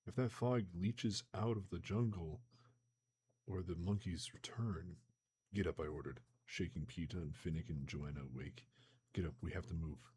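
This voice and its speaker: A man with a very low, slightly hoarse voice reads a novel aloud for his live stream. He moves through the passages at a steady pace and drops into loose, slangy chat between them, over a faint hum on the desk mic. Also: normal speed. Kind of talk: live-streamed book reading with casual asides.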